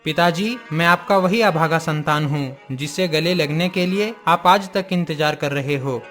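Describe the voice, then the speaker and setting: A man's voice: on the high side, measured pace, fairly bright tone, soft background music. A man playing a humble, dutiful young prince in a cartoon moral story, speaking respectfully and earnestly in formal words. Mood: earnest, pleading.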